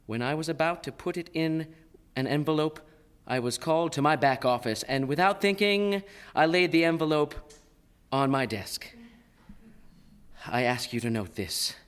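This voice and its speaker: Self-righteous townsman, male actor, fairly high voice, formal and pointed testimony, radio play. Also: normal speed, long pauses, clear, resonant tone, close to an everyday voice. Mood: indignant, precise. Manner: precise, oratorical, pointed. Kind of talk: stage radio drama. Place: playhouse stage before a live audience.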